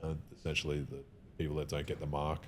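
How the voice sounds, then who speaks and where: Deep, fast-talking opinionated man. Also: little pitch movement, slight background noise. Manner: emphatic, opinionated, many restarts. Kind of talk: casual podcast conversation.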